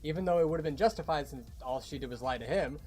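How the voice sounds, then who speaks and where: A man's voice: a little high, fast, a little mellow, faint music behind. A man co-hosting a behind-the-scenes livestream, talking casually about a creative project.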